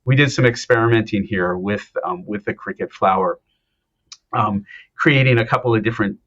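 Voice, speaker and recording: A male professor from Ohio, answering interview questions in a relaxed, explanatory way, pausing often with ums. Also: fairly low pitch, highs cut off. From Ohio, United States. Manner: explanatory, hesitant with many ums. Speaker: anthropology professor, guest. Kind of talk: conversational interview.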